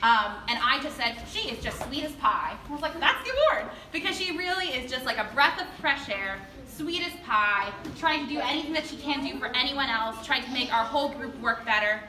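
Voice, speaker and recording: A woman's voice: high voice, lively intonation, brisk, few pauses, sharp tone. Female drama club teacher presenting awards: gushing, chatty, informal, picked up from far off in a reverberant room. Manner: enthusiastic, gushing, colloquial. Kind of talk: informal award speech to parents. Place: indoor performance space before an audience. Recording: echoey room, distant noisy mic.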